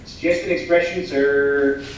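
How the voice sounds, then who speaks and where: A man's voice: mid-range voice, steady tone, a little slow, lo-fi sound, natural voice. A man playing a defensive, deferential assistant in a comedy staged reading, earnest and protesting. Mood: pleading. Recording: distant mic, echoey room, faint noise.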